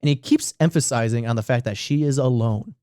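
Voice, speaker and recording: A man's voice: medium pitch, brisk, warm tone, no room sound, clean studio sound. A man hosting a podcast, retelling a story casually with plenty of slang and swearing, acting out the dialogue. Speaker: podcast host retelling a horror series. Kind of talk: casual comedic retelling with loose acted-out dialogue.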